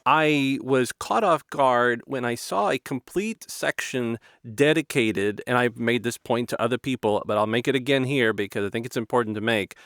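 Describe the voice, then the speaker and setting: A man speaks as the host of a conversational interview. His mid-pitched voice is recorded close and dry, without room sound. He is emphatic, restating phrases to press a point. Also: moderate pace, hardly any pauses, fairly bright tone, no room sound. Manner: emphatic, repeats phrases for stress.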